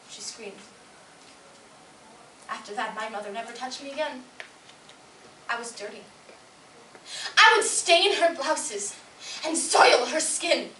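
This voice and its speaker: A woman performing a dramatic stage monologue as a scarred, disturbed daughter yearning for her mother, slow and hushed with sudden swells. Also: sits high, full character voice. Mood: quiet hurt. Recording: distant, echoey room with faint noise.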